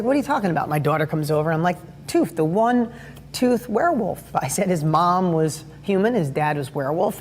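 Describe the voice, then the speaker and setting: A middle-aged man with a high-pitched voice, giving a motivational talk and telling stories with enthusiasm and acted-out quotes. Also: long reverb tail. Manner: enthusiastic storyteller, acts out quotes. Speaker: speaker on sales and parenting.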